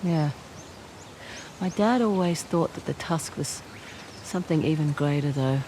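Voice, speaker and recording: A woman's voice: deep voice, a little slow, noisy background. An actress playing a wry, teasing woman treasure hunter with an Australian accent in a video game, speaking casually and naturally. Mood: reflective.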